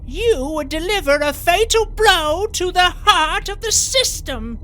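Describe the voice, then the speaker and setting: A woman voices a pompous, menacing villain for a comic dub, an idealist locked away. The delivery is slow and very animated, in a high voice. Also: heavily acted. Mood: menacing zeal. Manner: pompous, philosophising. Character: imprisoned mastermind villain, smug, idealistic and menacing. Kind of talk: theatrical comic dub acting.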